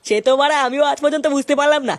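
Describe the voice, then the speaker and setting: Man playing a high-voiced grumbling cartoon worker. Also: level tone, very fast. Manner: coarse, grumbling. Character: grumbling married male worker, meek before the boss. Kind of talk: comic cartoon dialogue. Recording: loud noise behind the voice.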